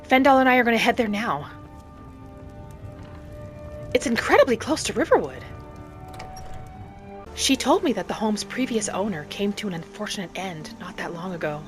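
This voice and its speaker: A woman plays a gentle young wood elf woman telling her own story in a fantasy game roleplay. She speaks softly and earnestly, in an unhurried, dreamy way. Quiet music runs behind her mid-pitched voice. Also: lively intonation, close to an everyday voice. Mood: purposeful. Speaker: roleplay series host. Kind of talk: in-character scripted narration, storytelling.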